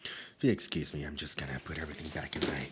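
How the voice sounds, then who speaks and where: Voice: a bass voice, flat delivery, fast talker, heavy background noise. A performer voicing a nerdy, easily flustered immortal demon in an ASMR roleplay, with a stammering, theatrical delivery. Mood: wistful, soft. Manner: stammering, theatrical, swinging from soft musing to panicked outbursts. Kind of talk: roleplay ASMR character acting.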